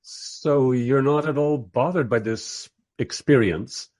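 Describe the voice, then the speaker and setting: An actor playing a bemused, wry filmmaker who narrates and interviews in a scripted radio drama. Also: male voice, mid-range voice, takes it slowly, a little mellow, lightly acted. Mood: wry, narrating.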